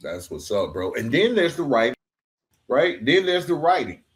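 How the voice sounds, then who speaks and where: A man's voice: mid-range voice, sing-song, normal speed. Casual male podcast host. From Atlanta, Georgia, United States. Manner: familiar, says "bro", reflective affirmations. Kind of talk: casual conversational interview.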